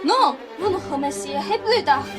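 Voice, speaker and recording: A woman's voice: sits high, expressive, normal speed, music in the background. A young woman playing a scheming, gushing old mother in a French radio play, theatrical and effusive. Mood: insistent. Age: young adult.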